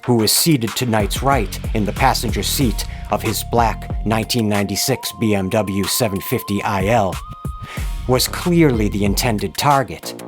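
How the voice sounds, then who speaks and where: A man's voice: fairly low pitch, normal speed. A man giving a grave, theatrical scripted narration in the style of a true-crime horror tale. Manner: grave, florid, theatrical delivery. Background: music bed.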